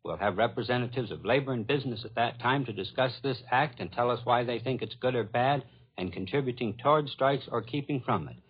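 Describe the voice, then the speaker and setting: A man's voice: medium pitch, fairly quick, husky. An American man hosting a 1940s radio public-affairs program, summing up in an earnest, emphatic way. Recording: muffled 1940s radio broadcast recording.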